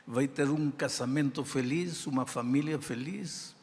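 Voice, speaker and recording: An older man with a non-native accent in Portuguese, preaching a sermon in slow, deliberate phrases with emphatic pauses. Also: medium pitch, darkish tone. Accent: non-native speaker of Portuguese. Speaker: pastor. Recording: reverberant hall sound.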